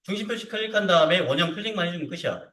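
A man teaching software step by step in an informal way, often checking in with short tag questions. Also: high voice, expressive, racing. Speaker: CAD instructor. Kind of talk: hands-on software tutorial to students. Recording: roomy echo, distant mic.

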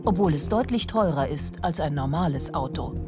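A woman reading scripted documentary narration in an even, informative way. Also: low-pitched, normal speed. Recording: voice-over over music.

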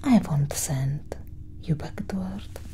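A young woman whispering breathily and deliberately in a soft ASMR roleplay as a calm alien scientist, close to the mic. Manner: slow, deliberate, hushed. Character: calm, clinical female alien scientist examining a human. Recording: steady low engine-like hum.

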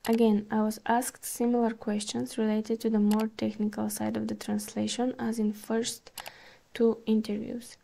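A woman reads her own article aloud for a podcast, in a calm, measured pace with the odd "uh". Her voice sits a little high but sounds mellow and soft rather than bright. She is recorded close on the mic. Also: vocal fry, slight background noise. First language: Bulgarian. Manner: calm, measured reading with small hesitations. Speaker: podcast host.

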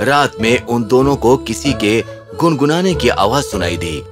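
A man's voice: mid-range voice, lively intonation. A man narrating a scripted children's fairy tale as storyteller. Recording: music under the narration. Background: music.

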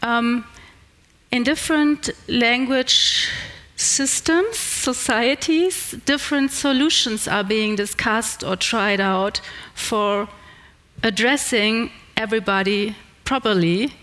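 A woman's voice: a little high, slow, fairly bright tone. A middle-aged woman with a German accent, giving a conference talk and taking questions, hesitant with many fillers and restarts. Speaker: conference speaker. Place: large indoor stage. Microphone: headset.

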